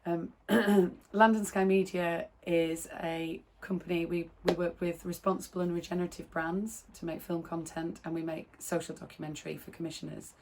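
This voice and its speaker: Croaky woman losing her voice, flat low tone, chatty film intro. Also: slight background noise, poor audio. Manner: friendly, halting with restarts. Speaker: filmmaker.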